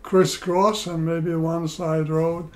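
An older man from Poland, seated at home, telling his life story in a slow, measured way with many pauses, recorded by a camera across the room. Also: fairly high pitch, level tone. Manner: slow, measured, pausing between phrases. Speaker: interviewee. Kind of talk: oral history storytelling. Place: living room, indoors.

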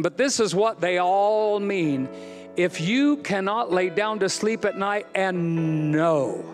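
A middle-aged American man, a pastor preaching emphatically on a church stage into a handheld mic, then leading a soft altar-call prayer. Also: sits high. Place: large church stage with band.

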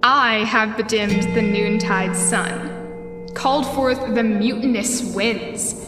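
Woman acting a grand solemn exiled sorcerer duke, highish voice, slow shakespeare verse, music behind. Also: steady tone. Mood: grand, commanding. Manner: grand, declamatory, deliberate.

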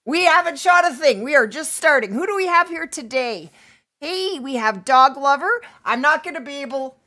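Bright chatty woman losing her voice. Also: high-pitched, lively intonation, normal speed. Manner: chatty, greeting viewers by name. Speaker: streamer. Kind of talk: live game stream chat. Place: home streaming setup.

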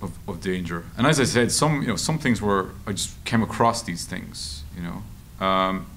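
Male interviewee, Irish, low creaky voice, conversational storyteller, light room noise. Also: normal speed. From Ireland. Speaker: journalist and author being interviewed. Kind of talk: conversational interview answers at a public discussion. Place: meeting room at a table. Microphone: table microphone. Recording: steady background room noise.

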